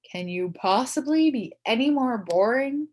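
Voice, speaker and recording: A woman's voice: a little high, lively intonation, measured pace, a little mellow, voice pushed up. A woman voicing a cheeky, sarcastic schoolboy in a storybook, bratty and emphatic. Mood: defiant.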